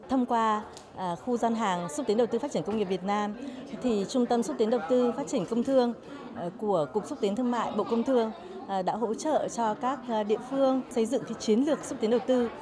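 A woman's voice: fairly high pitch, a little fast. A woman in her forties, an official, interviewed in an exhibition hall, hesitating with many filler sounds. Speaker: investment promotion official. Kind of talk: on-camera interview. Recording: crowd chatter behind.

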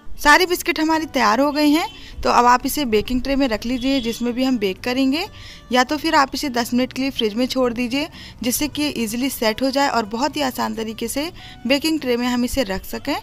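A woman's voice: sits high, fairly quick, gravelly, fairly bright tone. A woman narrating a step-by-step recipe tutorial in an instructive, explanatory manner. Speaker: home cooking host. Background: music.

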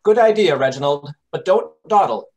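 A man playing a brisk, impatient gentleman detective giving orders in old-time radio drama style. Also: fairly high pitch, expressive, close to an everyday voice. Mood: brisk, commanding. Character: brisk, commanding, impatient English gentleman detective directing rehearsal. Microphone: video call.

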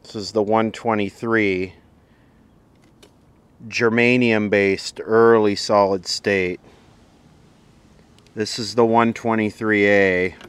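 A man's voice: on the low side, steady tone, slow, long pauses, clear, resonant tone. A man giving a casual, unscripted hands-on demonstration as a video host, dry and wry in manner. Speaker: video host and radio repair hobbyist.

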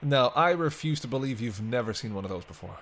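Man playing a wry, teasing vampire, animated pitch. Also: fast. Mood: playful banter. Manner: bantering, wry, hesitant asides. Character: teasing century-old male vampire, sardonic but soft-hearted. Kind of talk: intimate roleplay audio drama acting.

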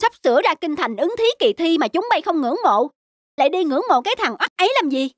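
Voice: high voice, sing-song, no room sound, clean studio sound. An actor voicing an arrogant, scheming young scholar in a cartoon, boastful and sneering. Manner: boastful, sneering, drawn-out taunts. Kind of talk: cartoon voice acting.

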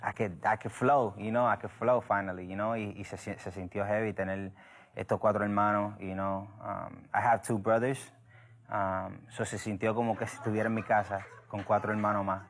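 A man's voice: lowish voice, little pitch movement, normal speed. A young man, a band member, talking casually in an interview, switching languages and restarting his sentences.

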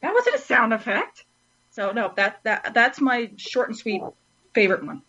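A woman chatting casually as a podcast panelist, rambling and animated, often interrupting herself. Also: on the high side, moderate pace, husky, dull audio. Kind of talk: casual chat.

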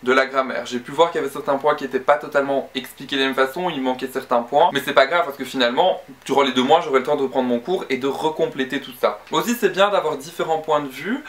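A man's voice: medium pitch, fast, few pauses, fairly bright tone. Young guy rambling through study tips, earnest, lots of ums and restarts, webcam in his bedroom. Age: young adult. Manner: chatty and earnest, with frequent fillers and restarts. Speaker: student vlogger. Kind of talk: casual study-tips vlog. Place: bedroom with bookshelf and screen.

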